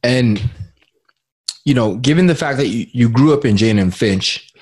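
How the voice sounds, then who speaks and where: A man's voice: medium pitch, lively intonation, moderate pace, a little mellow, breathy edge. A man hosting an interview podcast, asking long questions that loop back on themselves and repeat words as he goes. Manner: rambling, repeats words while framing questions. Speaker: podcast host.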